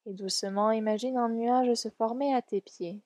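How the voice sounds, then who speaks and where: A woman leading a guided relaxation meditation in a soft, soothing, gentle and unhurried way. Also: fairly high pitch, steady tone, dry recording, clean studio sound. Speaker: yoga nidra guide.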